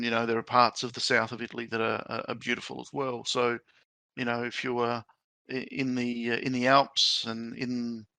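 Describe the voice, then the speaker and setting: A man's voice: mid-range voice, bright, forceful tone, dry recording. A middle-aged man hosting a podcast, chatting loosely and leaning on "you know" and "um". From Australia. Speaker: podcast host. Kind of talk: casual chat.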